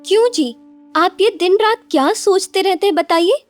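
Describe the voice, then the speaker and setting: A woman voicing a devoted, sensible young village wife in an animated moral story, with a caring, expressive and dramatic manner. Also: extremely high pitch, normal speed, soft background music. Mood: surprised, chatty.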